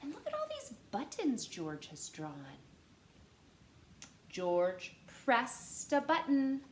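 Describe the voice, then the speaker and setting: Expressive high-pitched woman reading to children. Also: slow pace. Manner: expressive teacher-like delivery, dramatic gasps and emphasis. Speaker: storytime reader. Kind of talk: animated children's read-aloud with questions and word explanations. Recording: roomy echo with faint background noise.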